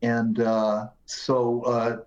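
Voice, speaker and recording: A man's voice: mid-range voice, monotone, extremely slow, a little mellow. Older man telling rambling film-set stories. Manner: rambling, emphatic, with many restarts. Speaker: guest film producer. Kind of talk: anecdotal storytelling. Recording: dull lo-fi sound.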